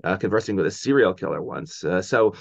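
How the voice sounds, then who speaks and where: Young male podcast host with a lowish voice, halting and eager; dry, dull audio. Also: lively intonation, gravelly, no room sound. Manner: enthusiastic, halting, many uhs and restarts. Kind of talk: interview hosting.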